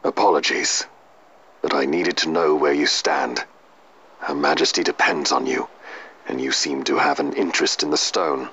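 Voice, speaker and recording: Very deep, solemn knight. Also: male voice, fairly bright tone, full character voice. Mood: grave, probing. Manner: earnest, stoic, measured. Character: solemn, honor-bound male knight in fantasy game. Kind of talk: formal, archaic video game drama acting. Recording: muffled game audio under clear music.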